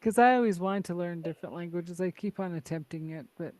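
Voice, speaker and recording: A woman's voice: lowish voice, fairly quick, a little mellow. A woman hosting a podcast from Canada, chatty and laughing often, full of "like" and "right?". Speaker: podcast host. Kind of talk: casual conversation.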